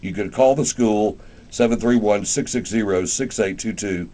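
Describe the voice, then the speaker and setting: A mature man with a deep, low-set voice. He hosts a live radio interview in a casual, folksy style, rambling a little as he goes. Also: moderate pace, mellow. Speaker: radio show host.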